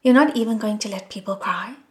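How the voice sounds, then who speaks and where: A woman's voice: medium pitch, brisk, a little mellow, close to an everyday voice. A woman voicing a wailing noblewoman clutching her child. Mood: distraught. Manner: wailing, then angry retorts.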